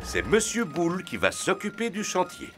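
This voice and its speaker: An actor playing a good-natured, slightly pompous daddy pig in a French preschool cartoon, with music underneath. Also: female voice, lowish voice, sing-song, moderate pace, background noise. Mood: mild, self-assured.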